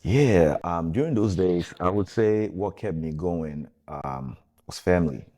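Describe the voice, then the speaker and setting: A man from Ghana, in his thirties, talking in a relaxed, reflective way in a casual interview, with easy laughs. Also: low-pitched, a little slow. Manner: relaxed, reflective, with easy laughs and "you know what I'm saying". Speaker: guest.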